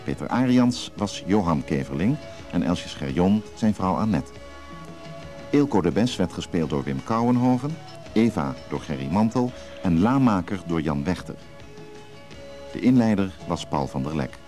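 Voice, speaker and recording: A man's voice: low voice, big pitch swings, normal speed, mellow, faint music behind. A man reading scripted closing credits as a radio drama announcer.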